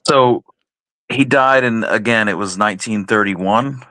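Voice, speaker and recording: A man co-hosting a radio show, casually discussing and asking questions in a measured, reflective way. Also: on the low side, bright, forceful tone, no room sound, low-quality recording.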